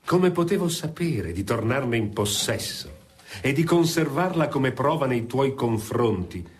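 Actor as sardonic lawyer with swooping intonation. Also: male voice, mid-range voice, expressive, measured pace, close to an everyday voice. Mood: sardonic challenge. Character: shrewd male lawyer, sardonic and self-possessed. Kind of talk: Italian radio drama acting.

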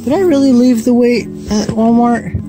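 A young man talking casually to the camera in a relaxed, chatty way with lots of fillers, first in a store and then from his car. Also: extremely high pitch, normal speed, over music. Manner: relaxed, chatty, self-mocking, many fillers. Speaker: fishing vlogger. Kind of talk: casual vlog talk to camera. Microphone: camera mounted on dashboard.

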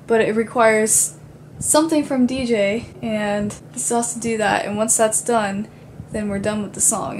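A woman's voice: medium pitch, slight background noise. A young woman vlogging casually to a handheld camera in a dim bedroom, chatty and rambling. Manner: chatty, rambling with many fillers. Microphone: handheld camera at arm's length.

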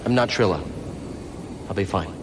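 An actor playing a young Jedi hero who talks in an earnest, guarded, low-key way. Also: male voice, moderate pace, noisy background, close to an everyday voice. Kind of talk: video game cutscene acting.

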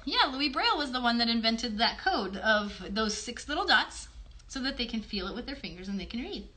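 A woman's voice: a little high, expressive, brisk, bright, forceful tone. A woman in her thirties chatting to children as a livestream host, explaining simply and encouragingly with lots of questions. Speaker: host and puppeteer of a children's show. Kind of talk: child-directed chat on a livestream. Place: small bedroom-like room indoors. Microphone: phone a short distance away.

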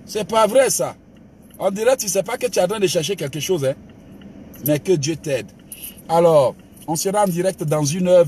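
Male pastor, fairly high voice, exhorting sermon style. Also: a little hiss or hum. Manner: emphatic preacher, calls listeners "bien aimé", mixes exhortation with laughs. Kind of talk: motivational sermon.

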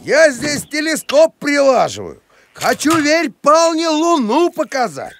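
High-pitched dreamy cartoon dog. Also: male voice, sing-song, measured pace, vocal fry, a bit bright, a little hiss or hum, full character voice. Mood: earnest. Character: dreamy, stubborn, simple-hearted male cartoon dog. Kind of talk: cartoon voice acting.

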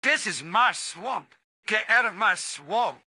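A grumpy, blunt ogre guarding his turf , played by a man in a homemade puppet skit. The voice is high and very sharp, in an echoey room. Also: expressive, takes it slowly, heavily acted. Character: grumpy male ogre impression, possessive of his swamp. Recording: echoey room with clear noise.

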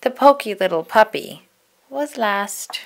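A woman narrating a children's storybook in an expressive, deliberate storytelling manner. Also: mid-range voice. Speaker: storybook read-aloud narrator.

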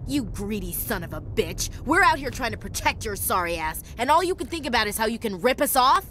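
A woman's voice: on the high side, sing-song, brisk, a bit bright, slight background noise. A woman playing a tough, blunt soldier in a video game, with a confrontational manner. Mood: angry.

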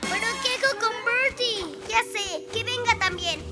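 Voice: very high voice, a little slow. A performer voicing an eager, earnest six-year-old girl superhero in a cartoon dub, with a Latin American Spanish accent. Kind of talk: dubbed cartoon acting. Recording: loud music under the voice.

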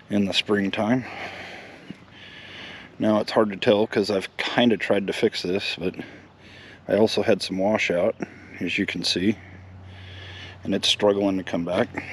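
A middle-aged American man talking casually in a plain-spoken, matter-of-fact way, like a vlog host explaining a job. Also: fairly low pitch. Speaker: host of a lawn care vlog. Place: outdoors in a residential yard, later an open garage. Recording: clear outdoor ambient noise.

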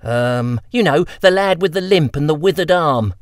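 A man's voice: on the high side, expressive, normal speed, no room sound, clean studio sound, pitched above the performer's own voice, close to an everyday voice. A man voicing a grubby, cheeky young lamplighter boy with a chirpy, slangy way of talking.

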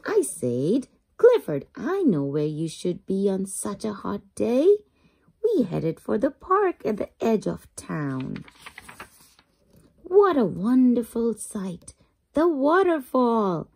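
Slow, expressive woman reading to children. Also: on the high side, gravelly, slightly rough. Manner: expressive, drawn-out emphasis for young listeners. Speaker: children's book reader. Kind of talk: read-aloud children's storytelling.

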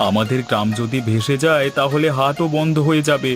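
A man's voice: medium pitch, a little fast, close to an everyday voice. A man playing a kindly, devout village market trader in a cartoon, talking in an earnest, folksy, advisory way. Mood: concerned. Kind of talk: cartoon voice acting. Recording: music behind the voice.

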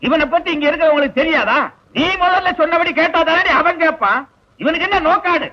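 A man's voice: very high voice, animated, a little fast. An actor playing a short-tempered, middle-aged father who mocks and scolds his grown son. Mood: scolding. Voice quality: sharp, mocking scolding. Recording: muffled old film soundtrack.

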